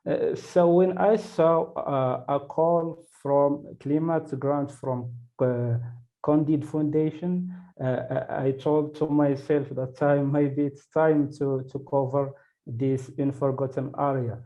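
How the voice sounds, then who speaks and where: A man from Morocco speaks slowly and haltingly, filling pauses as he recalls how he began his reporting. His tone is mellow and darkish, with a pitch a touch above average. The audio is dull and lo-fi, like a video call. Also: fairly even intonation. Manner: halting, many fillers. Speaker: award-winning journalist. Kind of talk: webinar panel answer.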